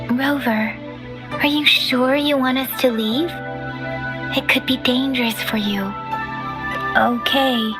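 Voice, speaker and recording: A woman voice-acting a polite young female video game companion, with a gentle, cautious, caring manner. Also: on the high side.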